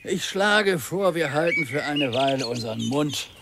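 An actor playing a grumpy, blustering older retired husband in a radio play. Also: male voice, on the high side, lively intonation, normal speed, gravelly, background noise. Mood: subdued. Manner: blustering, indignant.

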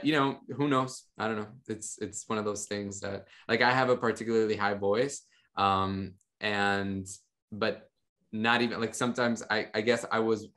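A young man on a video call, a podcast guest talking about his ministry in a relaxed, rambling way with many fillers. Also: fairly low pitch. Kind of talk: casual interview talk. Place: home room with monitors. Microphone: studio mic on a video call.

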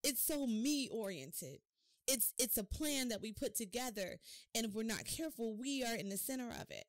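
A woman's voice: a little high, moderate pace, dry recording. A middle-aged woman hosting a devotional podcast, preaching expressively and putting rhetorical questions to her listeners. She gestures with her hands as she talks, recorded close in a home studio. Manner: animated hand gestures while talking. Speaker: podcast host. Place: home office studio, indoors.

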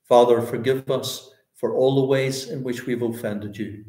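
A man in his sixties with a Northern Irish accent, a pastor praying aloud in a solemn, earnest way, pausing phrase by phrase. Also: medium pitch, fairly even intonation, mellow. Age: 60s. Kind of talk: extempore public prayer, church service. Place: indoors, small bare-walled room. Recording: echoey room.

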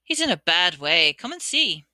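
A woman voicing an excitable young schoolboy who blurts out exclamations and questions. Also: on the low side, moderate pace, no room sound, studio quality, heavily acted. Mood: matter-of-fact.

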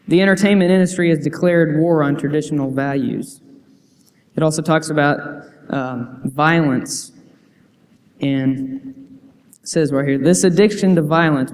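A man's voice: a little high, darkish tone. Young man preaching in an echoing church. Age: young adult. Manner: earnest, reads quotes aloud, frequent restarts. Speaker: youth preacher. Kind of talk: sermon with scripture reading. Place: church sanctuary. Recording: church hall reverb.

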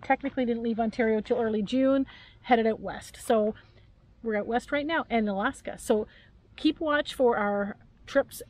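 A woman's voice: on the high side, normal speed, poor audio. A woman in her fifties from Canada, co-hosting a travel vlog and chatting casually with many fillers and giggles, sitting outside beside a camper van with the camera a few meters away. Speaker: vlog co-host. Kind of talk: casual chat.

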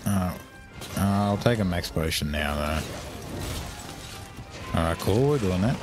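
A young Australian man swearing freely and reacting loudly as he chats while streaming a game. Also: deep voice, lively intonation, a little slow, over music. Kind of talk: casual live game commentary.

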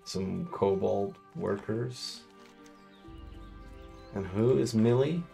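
A Dutch man with a Dutch accent and a gravelly voice, talking slowly and casually as game master and let's-play host. His pitch is a little low, and faint music sits behind him. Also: mellow. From Netherlands. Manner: laid-back, chatty, swears casually. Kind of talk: casual gameplay commentary.

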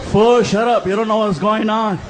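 A man's voice: high-pitched, steady tone, moderate pace, crowd noise, lightly acted. A man voicing a hot-headed, gullible male hotel guest, blunt and slangy, in a stand-up routine. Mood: furious. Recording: noisy live comedy show audio.

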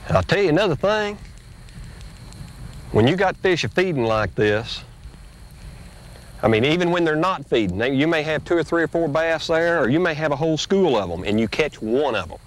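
A middle-aged man, folksy and drawling, hosting a fishing show and teaching while he fishes from a bass boat on a lake. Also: normal speed, pauses often. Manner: folksy, drawling, excited when a fish bites. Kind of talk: casual outdoor instruction while fishing. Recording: noisy outdoor boat audio, 1990s tape.

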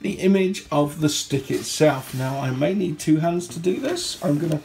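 A man from the UK with a sore throat, chatting casually through an unboxing at home, recorded on a handheld phone and clearing his throat now and then. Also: fairly high pitch, animated, moderate pace, a little mellow, a little hiss or hum. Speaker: product reviewer. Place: indoor home room. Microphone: phone held in hand.

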